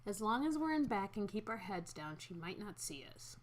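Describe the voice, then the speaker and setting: A woman's voice: mid-range voice, very animated, unhurried. A young woman reading a story game aloud with a friend, playful and giggly between lines.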